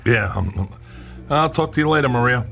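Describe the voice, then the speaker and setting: A man's voice: medium pitch, lively intonation, moderate pace, creaky, bright, forceful tone. An actor playing a wry, skeptical male investigator in an audio drama, dry and a little hesitant. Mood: evasive, probing.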